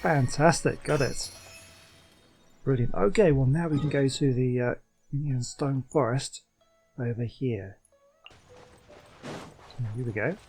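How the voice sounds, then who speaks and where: Mid-pitched man, casual let's play host. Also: slow pace, pauses often, a little mellow, faint music behind. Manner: thinks aloud. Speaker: gaming video host. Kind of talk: casual let's play commentary, reading game choices aloud.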